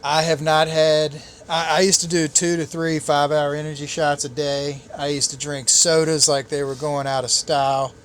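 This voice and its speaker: Man, late 30s, easygoing rambling podcast chat, slightly high voice, faint outdoor noise. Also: normal speed, hardly any pauses. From Chesapeake Bay area, US. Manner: relaxed, rambling, with restarts and laughs. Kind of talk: casual chat. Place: on a boat out on the water.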